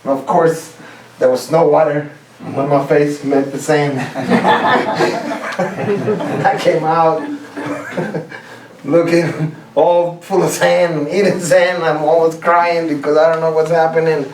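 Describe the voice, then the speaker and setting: A man's voice: on the high side, steady tone. Distant, echoey man telling funny stories. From Costa Rica. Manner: laughs at own stories, halting restarts. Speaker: contest speaker. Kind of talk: humorous contest speech. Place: club meeting room. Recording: roomy, distant mic, faint hiss.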